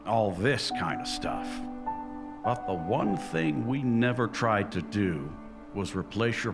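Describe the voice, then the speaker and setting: An actor playing a stern older uncle and newspaperman with a deadpan, deliberate delivery in a comic dub. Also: lowish voice, creaky, exaggerated voice. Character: stern, dry-witted older uncle, plain-spoken newspaperman. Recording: music behind the voice.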